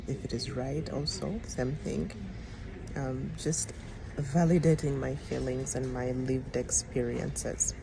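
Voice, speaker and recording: A middle-aged woman, a public health educator, talking in an earnest, reflective way with frequent pauses and ums. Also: rumbling low, gravelly, poor audio. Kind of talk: personal vlog talk. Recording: music playing underneath.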